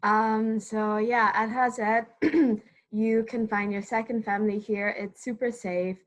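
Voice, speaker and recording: A woman's voice: on the high side, flat delivery, slow pace, rough recording. An eighteen-year-old woman from Ireland presenting a webinar as a student, upbeat and promotional.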